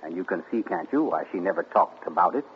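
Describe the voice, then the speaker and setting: An actor playing a suave, gloating male amateur sleuth in 1940s radio acting, theatrical and deliberate. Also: deep voice, animated, muffled recording. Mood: smug. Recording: scratchy 1940s radio broadcast.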